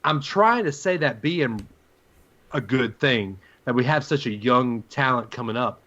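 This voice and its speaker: A man's voice: medium pitch, expressive, a little slow, pushed and bright, muffled recording. A man co-hosting a sports talk show, giving his opinions and putting questions in a conversational way.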